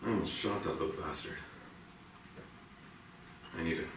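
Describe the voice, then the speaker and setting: A man's voice: sits low, normal speed. A man in a staged play reading playing a tormented, guilt-ridden police officer and father. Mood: anguished. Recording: echoey theatre, far from mic, faint noise.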